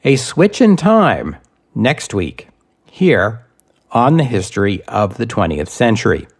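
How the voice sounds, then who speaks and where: Man narrating history, measured and deliberate. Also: mid-range voice, very animated, husky. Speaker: history podcast host and narrator.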